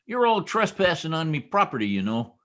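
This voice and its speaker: A man's voice: on the high side, sing-song, brisk, fairly bright tone. A man playing a boastful, folksy small-town husband full of slangy bluster in an old-time radio comedy. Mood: bossy. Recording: video call recording.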